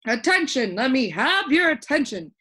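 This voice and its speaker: A woman's voice: high voice, big pitch swings, normal speed, bright, forceful tone, breathy edge, pitched above the performer's own voice, exaggerated voice. A woman playing a commanding yet sorrowful storybook king with a regal, declamatory manner.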